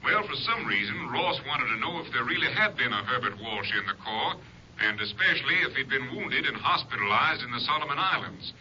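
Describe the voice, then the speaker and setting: A businesslike Ranger captain with a sharp, bright, matter-of-fact delivery. The recording has the muffled tone of old radio. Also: male voice, mid-range voice, sing-song, fairly quick, slight background noise. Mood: brisk. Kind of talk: 1950s radio drama acting. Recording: dull old broadcast.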